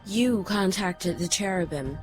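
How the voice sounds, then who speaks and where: A woman's voice: medium pitch, unhurried, over music, natural voice. A woman playing a solemn, regal immortal guardian in an audio drama, speaking in a grave, formal, stately way. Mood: solemn, commanding. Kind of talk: audio drama narration and acting.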